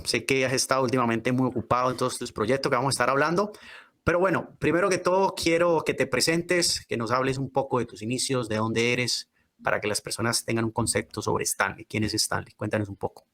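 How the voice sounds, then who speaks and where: A man's voice: medium pitch, brisk, fairly bright tone. A man hosting a podcast in a conversational style, addressing guest and listeners directly. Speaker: podcast host. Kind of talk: conversational podcast interview and promotion.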